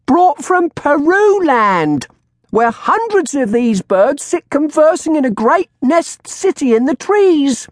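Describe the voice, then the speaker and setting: Shrill, bold old tavern crone. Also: big pitch swings, slow, no echo, studio quality, exaggerated voice. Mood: boastful, crying out. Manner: bold, haranguing street-seller's shouting. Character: fat, shrill old tavern woman hawking a talking bird.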